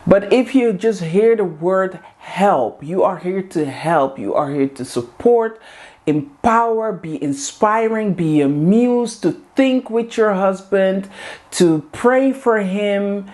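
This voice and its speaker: Slow-talking Dutch woman coaching on camera. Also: mid-range voice, lively intonation, a little mellow. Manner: earnest, repetitive coaching lines. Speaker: host of a women's group video series. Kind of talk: motivational talk to camera.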